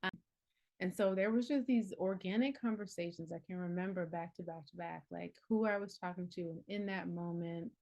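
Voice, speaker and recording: A woman in her early forties speaks in a casual, reflective way, sitting a little low in her register. She sounds earnest and encouraging, laughs readily, and leans on "like" as she talks about healing. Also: moderate pace, creaky, darkish tone, lo-fi sound. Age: 40s. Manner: earnest and encouraging, laughs often, many "like" fillers. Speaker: herbalist and healing coach.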